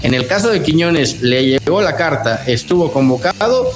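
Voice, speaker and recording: A man's voice: fairly high pitch, lively intonation, normal speed, faint music behind. A man giving opinions as a sports pundit in casual debate, insistent and repeating phrases for emphasis.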